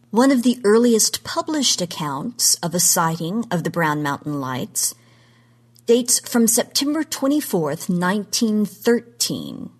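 An American woman from Oklahoma presenting a scripted podcast talk in an articulate storytelling style with measured emphasis. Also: fairly low pitch, breathy edge. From Oklahoma, United States.

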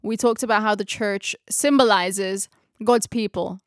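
A woman's voice: medium pitch, steady tone, measured pace, no echo, clean studio sound. Woman leading a reflective bible study. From Philippines. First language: Tagalog. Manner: reflective, searching for words, laughs at herself. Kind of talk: closing a bible study discussion.

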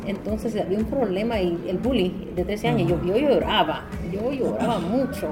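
A middle-aged woman with a Salvadoran accent talks through her story as an interview guest, circling back and restarting her sentences often. Music plays loudly beneath her voice the whole time. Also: medium pitch, lively intonation, moderate pace. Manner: rambling, many restarts and fillers. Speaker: business owner guest. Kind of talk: casual interview answers.

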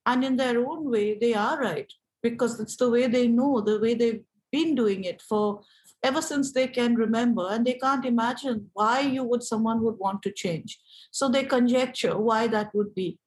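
A woman with an Indian English accent and a fairly high voice. She talks thoughtfully, explaining her views as an activist in an interview. Her delivery is reflective and measured. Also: low-quality recording.

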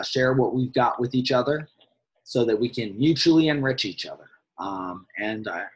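Hoarse man hosting a book chat. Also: medium pitch, poor audio. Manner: measured, slightly formal wrap-ups. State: hoarse, losing his voice. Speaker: podcast host. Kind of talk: casual chat about books.

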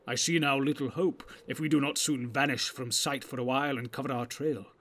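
A man's voice: medium pitch, steady tone, a little fast, a bit bright, close to an everyday voice. A man playing a grave, commanding old wizard in a fantasy audiobook, speaking in weighty, archaic, oratorical phrases.

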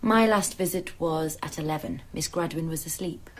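A professional nursing sister in a radio drama. Her voice is on the low side, and she reports precisely, with clinical composure. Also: normal speed.

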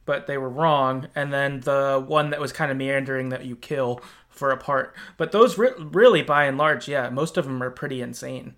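A man hosting a podcast, casually talking through a video game in an informal, halting way, thinking aloud as he goes. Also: medium pitch, fairly bright tone. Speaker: podcast host. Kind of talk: casual conversational game discussion.

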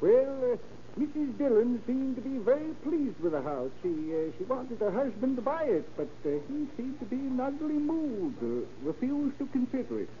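An actor playing a hesitant, flustered witness in an old radio crime drama, halting and stammering with many uhs. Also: male voice, extremely high pitch, very animated, dark tone. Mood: nervous, hesitant. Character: hesitant, flustered middle-class man who shows houses. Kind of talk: 1930s radio acting. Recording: muffled, noisy old radio recording.

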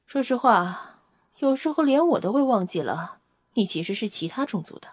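A woman's voice: a little high, lively intonation, measured pace, breathy edge, no echo. Woman as nosy, close-miked vampire scientist. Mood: teasing, matter-of-fact. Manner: inquisitive, blunt, matter-of-fact. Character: nosy, clinical vampire-race scientist Lanka, coolly curious. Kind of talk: audio drama acting.

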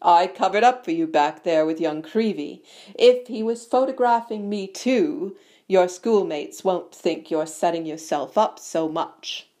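A woman's voice: unhurried, warm tone, natural voice. A woman voicing a pompous, self-loving man who speaks in a hearty, patronising way, in a storybook narration. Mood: paternal condescension. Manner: booming, hearty, condescending.

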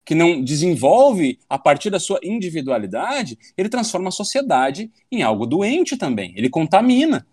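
High, lively-voiced man in his thirties. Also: moderate pace, few pauses, slightly rough, no room sound, clean studio sound. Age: 30s. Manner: rambling, philosophical, swears casually. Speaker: podcast guest. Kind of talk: casual podcast chat.

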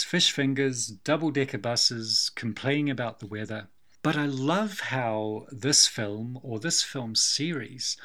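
A man's voice: mid-range voice, pushed and bright. Wry man with a Kiwi accent. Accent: New Zealand. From Scotland. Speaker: podcast co-host. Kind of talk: casual film discussion.

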